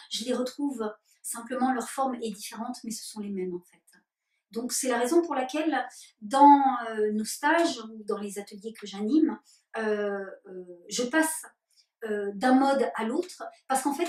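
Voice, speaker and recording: A woman leading spiritual workshops, answering interview questions slowly and deliberately with many hesitations. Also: high-pitched, a little mellow. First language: French. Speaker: workshop leader. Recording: roomy and distant.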